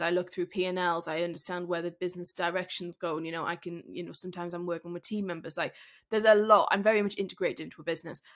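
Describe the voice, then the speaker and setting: Woman with a croaky, husky sick voice. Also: on the low side, fairly bright tone. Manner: candid, thinking aloud with frequent fillers and restarts. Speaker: podcast host. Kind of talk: solo podcast monologue.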